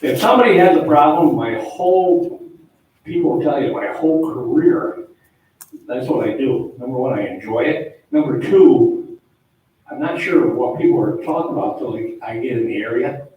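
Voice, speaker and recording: A man, older, a town board official talking loudly and rambling at a public meeting. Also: mid-range voice, animated, normal speed, narrow, tinny audio. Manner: loud, chatty, rambling. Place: town hall meeting room. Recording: echoey hall, distant mic, faint noise.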